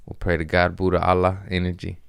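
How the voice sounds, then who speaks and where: Male podcast host, very low voice, dry close sound, joking and cursing freely. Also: monotone, fast, creaky, a bit bright, clean tone, dry recording. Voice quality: deep voice. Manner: loose, profane, jokey rambling. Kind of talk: casual chat.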